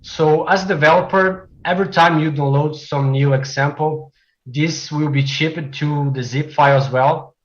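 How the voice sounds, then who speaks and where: A man from Brazil whose first language is Portuguese, giving a technical presentation as a developer, with frequent "uh" fillers and measured delivery. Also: a little high, steady tone, lo-fi sound. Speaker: conference speaker, software developer.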